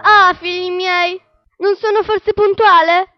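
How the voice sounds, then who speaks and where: A woman's voice: extremely high pitch, steady tone, takes it slowly, no echo, soft background music. A woman playing a vain, temperamental and imperious opera diva in a radio drama, with a haughty, theatrical manner. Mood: grand, self-satisfied. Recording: dull, lo-fi radio drama sound.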